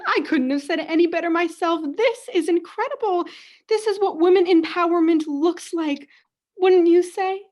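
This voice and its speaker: Casting: young woman playing oblivious adoring mom, high voice, melodramatic and haughty, radio play. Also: moderate pace, darkish tone. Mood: proud, gushing. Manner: gushing, melodramatic. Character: doting, haughty, oblivious mother of a daughter. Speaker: student actor in radio play.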